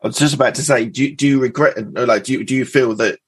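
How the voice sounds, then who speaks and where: A man's voice: mid-range voice, fast, slightly rough. A man hosting an interview, asking questions in a loose, chatty way with fillers and restarts. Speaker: interview host. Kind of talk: conversational interview.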